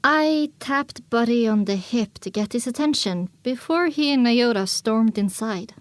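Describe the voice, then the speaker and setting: A woman narrating a first-person story as an audiobook, expressive and dramatic, on a close microphone. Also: fairly high pitch, unhurried, dry recording.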